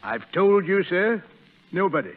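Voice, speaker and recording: Pompous, high-pitched old Southern colonel. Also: male voice, very animated, a little slow. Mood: testy, proud. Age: older. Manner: pompous, testy. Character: proud, hostile old Southern Confederate colonel. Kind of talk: 1930s radio acting. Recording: muffled, phone-like 1930s radio recording.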